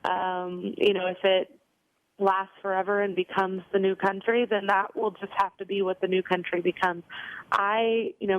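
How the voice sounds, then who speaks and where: Young woman, singer, chatty interview answers, lots of ums, low-ish voice, tinny phone-line sound. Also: no room sound. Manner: chatty, many ums and you knows. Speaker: country singer, interview guest.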